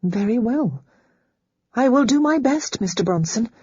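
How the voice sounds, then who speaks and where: A woman's voice: on the high side, lively intonation, a little slow, darkish tone, slightly rough, no echo, muffled recording, voice pushed up. A woman voicing a prim young lady tutor. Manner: prim, correcting, patient.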